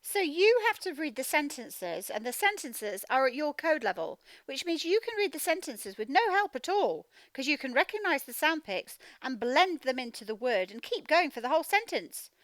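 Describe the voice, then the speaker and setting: A woman teacher giving a children's read-aloud phonics lesson, speaking in an animated, emphatic, instructional way and sounding out words. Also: high-pitched, moderate pace, few pauses, no room sound. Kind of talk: children's read-aloud lesson, explaining directly to young learners.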